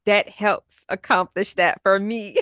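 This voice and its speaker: A woman's voice: mid-range voice, steady tone, takes it slowly, a bit bright, clean tone, no echo, studio quality. A woman hosting a book podcast in a conversational way, putting questions to a guest and addressing listeners. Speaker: podcast host.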